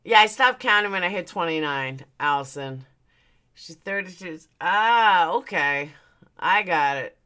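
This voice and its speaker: Woman, 40s, livestream host: bright, punchy low-ish voice, blunt and chatty while answering viewer comments. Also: lively intonation, measured pace, clean tone. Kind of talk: casual livestream chat.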